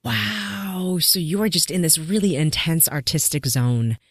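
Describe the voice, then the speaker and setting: A woman's voice: fairly low pitch, measured pace, breathy edge, dry recording, clean studio sound. A woman hosting a casual podcast interview, enthusiastic and affirming toward her guest. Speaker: podcast host.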